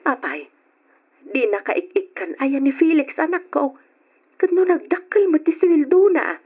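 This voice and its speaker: An actress with an Ilocano accent playing a needy, coaxing older mother with a husky voice in an old radio drama. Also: sits high, expressive, a little slow. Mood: pleading, coaxing. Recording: dull, lo-fi old radio recording.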